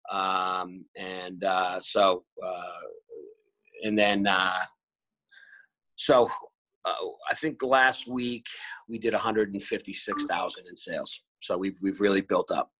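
A man's voice: deep voice, little pitch movement, slow pace, bright, forceful tone, no echo, rough recording. A man in his forties who owns a restaurant group, answering interview questions on a video call in a rambling way with many fillers and restarts. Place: bright room at home. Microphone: laptop camera on a video call.

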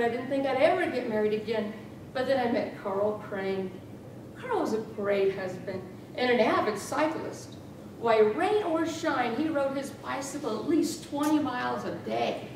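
Echoey, theatrical high-pitched woman storyteller. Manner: theatrical, deliberate storytelling with dramatic emphasis. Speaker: contest speaker. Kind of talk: humorous tall-tale speech to an audience. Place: small meeting room with rows of chairs. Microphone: far camera. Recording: echoey room from far off, noisy rumble.

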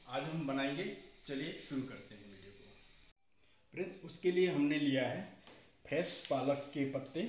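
A man's voice: mid-range voice, slight background noise. A man in his thirties hosting a cooking channel, explaining each step of a recipe plainly from his home kitchen. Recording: echoey kitchen with music underneath.

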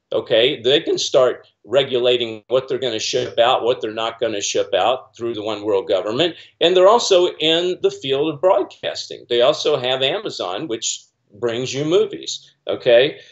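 A man's voice: medium pitch, expressive, normal speed, dull audio. A middle-aged man on a video call from a small room at home, talking in an earnest, rambling way with many fillers and restarts. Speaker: guest commentator. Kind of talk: casual video-call discussion.

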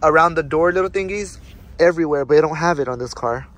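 A man's voice: fairly high pitch, fast talker, fairly bright tone. A young man hosting a vlog from inside a car, chatting casually, swearing and laughing a lot. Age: young adult. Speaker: vlog host. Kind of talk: casual chat. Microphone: phone camera on the dashboard.

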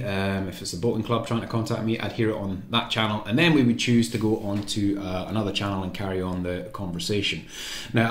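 A man's voice: lowish voice, a little mellow. A man giving an informal hands-on tutorial, explaining each step and thinking aloud as he goes. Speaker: presenter of a radio programming tutorial. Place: indoor room at a table. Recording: echoey room sound.

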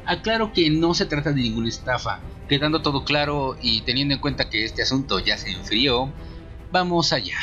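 A man's voice: mid-range voice, lively intonation, normal speed, sharp tone, dull audio, faint music behind. A Mexican man giving an informal scripted film review in a sarcastic, slangy, opinionated way. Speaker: video reviewer.

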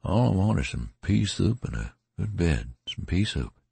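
A man reading a weary wounded soldier in an audiobook, with a rustic, rambling drawl and a creaky, husky voice. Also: low voice, sing-song, normal speed, mellow, no room sound, voice pushed up, exaggerated voice.

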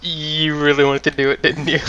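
A man's voice: a little high, level tone, normal speed, bright, forceful tone, dull audio, slight background noise. A man co-commentating on gameplay casually in short bantering quips. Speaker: let's play co-commentator. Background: video game music.

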